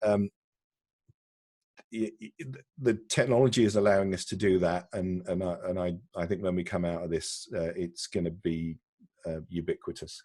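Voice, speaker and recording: Guy with a really deep voice on a video call interview, dry close sound, stumbles and repeats words a lot. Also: steady tone, a little mellow, no echo. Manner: halting, repeats words, frequent ums. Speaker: interviewee, broadcast technology executive.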